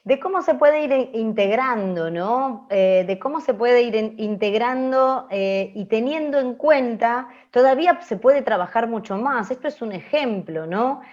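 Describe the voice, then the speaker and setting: A woman comments informally as a teacher in a fairly high voice. She is reflective, circling back over her phrases, and the audio is somewhat dull. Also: lively intonation, unhurried, few pauses, darkish tone. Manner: reflective, repeats phrases while searching for words. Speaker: radio workshop teacher. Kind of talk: informal open-class commentary.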